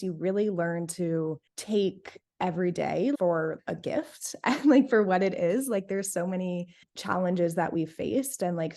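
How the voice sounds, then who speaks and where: A woman's voice: fairly low pitch, dark tone. Chatty young woman, laughing guest. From North Carolina. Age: 20s. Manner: chatty, laughs often, many fillers like "like". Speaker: interview guest, diabetes health coach. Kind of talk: casual interview chat.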